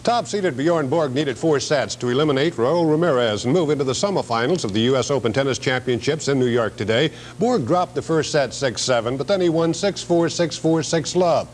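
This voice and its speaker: Male TV sportscaster, medium pitch, scripted results read, slightly noisy 1970s tape. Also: a little fast, few pauses.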